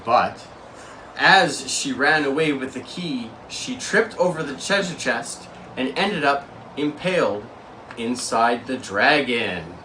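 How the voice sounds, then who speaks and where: A young man co-hosting a board game show, telling a story with slow, dramatic emphasis and playful bursts. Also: mid-range voice, animated, sharp tone. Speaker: co-host. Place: small room indoors. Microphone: far camera mic. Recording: distant camera mic, faint room noise.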